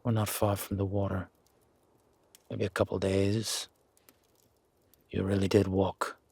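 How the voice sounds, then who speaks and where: Man playing a very husky, low werewolf. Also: level tone, a little mellow, no echo, exaggerated voice. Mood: calm, measured. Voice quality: husky, gravelly rasp. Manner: slow, measured, dry, guarded. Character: gruff, wary male werewolf, protective founder of a sanctuary. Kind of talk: roleplay audio drama, intimate one-sided dialogue.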